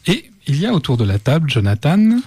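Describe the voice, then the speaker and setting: A man's voice: a little high, sing-song, a little fast, warm tone, slightly rough, no echo. A man hosting a casual radio talk show, chatting informally and speaking directly to listeners and guests. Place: radio studio. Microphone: close studio microphone.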